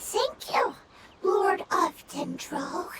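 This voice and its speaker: A woman voicing a small, innocent game creature that speaks in halting, simple words, one at a time. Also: very high voice, sing-song, far from the mic, heavy background noise, full character voice. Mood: innocent, earnest. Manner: halting, word by word. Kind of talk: English video game voice acting.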